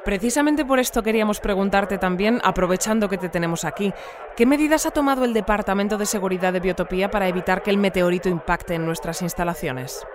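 An actress playing a composed woman news presenter in a scripted radio drama bulletin, formal and measured in her delivery. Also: mid-range voice, crowd noise, natural voice. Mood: probing, composed.

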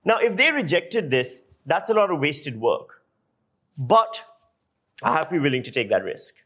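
Male interview guest, journalist and lecturer from Pakistan. Mid-pitched voice, emphatic, anecdotal explaining. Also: very animated, moderate pace, fairly bright tone, breathy edge.

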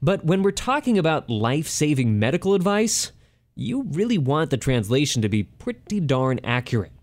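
A man's voice: fairly high pitch, sing-song, normal speed, dry recording, clean studio sound. A man hosting a radio interview show, speaking in a conversational, emphatic presenter style. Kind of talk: scripted radio introduction and interview.

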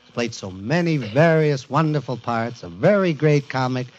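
A man presenting a show to a studio audience in an emphatic, informal, jokey warm-up style, on a 1940s radio recording. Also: mid-range voice, expressive, moderate pace, muffled recording, slight background noise. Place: before a live studio audience.

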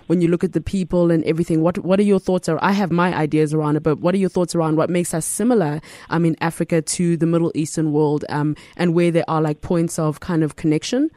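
Rambling, enthusiastic woman host, mellow voice. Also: sits low, steady tone, fast talker, hardly any pauses, no room sound, studio quality. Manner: enthusiastic, rambling questions with many fillers. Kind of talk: casual interview talk.

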